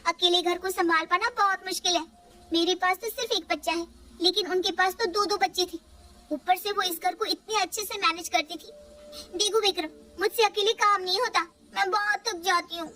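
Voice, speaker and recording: A woman's voice: extremely high pitch, fairly even intonation, a little fast, sharp tone, pitched above the performer's own voice. A woman voicing a tired young wife who pleads and insists she needs help at home. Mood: pleading, fed up.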